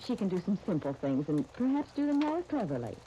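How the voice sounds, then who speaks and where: Mellow, earnest 1930s radio soap heroine played by an actress. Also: female voice, medium pitch, big pitch swings, fairly quick, close to an everyday voice. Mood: conciliatory, persuading. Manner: reasonable, gently persuasive, polite. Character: Helen, earnest, tactful young dress designer and shop partner. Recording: muffled, hissy 1939 radio broadcast recording.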